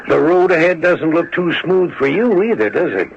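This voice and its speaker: Through a dull, faintly noisy radio recording comes an ambitious American district attorney. He is suave and pushy, with creak in the voice and animated, wide-ranging pitch. His persuasion has a needling edge, in radio mystery style. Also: moderate pace. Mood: needling, pressing. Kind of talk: radio mystery drama acting.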